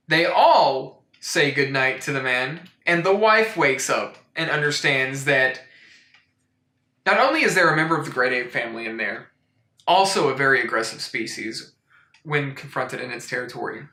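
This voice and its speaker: A man hosting a comic storytime in a mock-serious, deadpan way, rambling into incredulous asides. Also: on the high side, echoey room. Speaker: host of a comic storytime show. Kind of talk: deadpan comedic picture book review.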